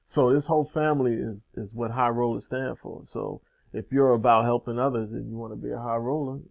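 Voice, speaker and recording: A man with a gravelly edge to his voice hosts a casual interview show. He talks in an upbeat, loose and rambling way, plugging things as he goes. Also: medium pitch, steady tone, fairly quick. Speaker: show host.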